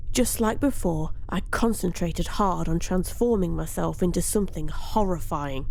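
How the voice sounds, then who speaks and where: A woman reading a first-person story aloud in an expressive, theatrical storytelling manner. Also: mid-range voice, a little hiss or hum. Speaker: story narrator.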